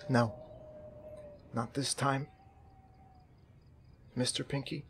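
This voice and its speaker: A man playing an eccentric, earnest amateur therapist in a roleplay game, halting and awkward, repeating himself for emphasis. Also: medium pitch, steady tone, fairly bright tone, a little hiss or hum. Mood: earnest, determined. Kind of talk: improvised roleplay in a zombie survival game.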